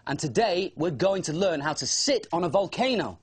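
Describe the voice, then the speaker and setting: A man voicing an excitable, curious young dragon who tells his adventure in a children's storybook, wide-eyed and eager. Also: sits high, moderate pace, slightly rough, voice pushed up. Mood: eager, puzzled.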